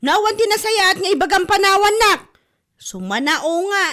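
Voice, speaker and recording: A woman's voice: very high-pitched, animated, moderate pace, a bit bright. A woman playing a gossipy, ailing and quarrelsome village wife with an Ilocano accent in a comedy drama podcast. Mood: heated.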